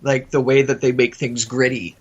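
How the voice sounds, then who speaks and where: A man's voice: medium pitch, flat delivery, moderate pace, breathy edge. A man co-hosting a podcast in a casual review chat, conversational and a little tentative, repeating his phrases. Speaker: podcast co-host. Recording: dull, lo-fi audio.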